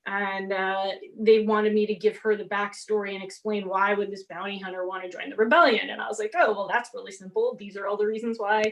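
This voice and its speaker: A woman in her forties whose first language is Portuguese, telling an animated story on a convention panel and acting out the exchanges she quotes. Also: mid-range voice, a little fast, hardly any pauses, rough recording. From half Brazilian, grew up speaking Portuguese. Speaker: panelist author. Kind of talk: casual panel talk.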